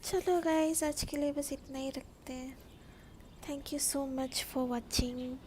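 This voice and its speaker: Woman, Indian accent, fast-talking, casual livestream chat, background noise. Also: sits high, steady tone, darkish tone. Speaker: game streamer. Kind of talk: casual livestream chat mixing Hindi and English.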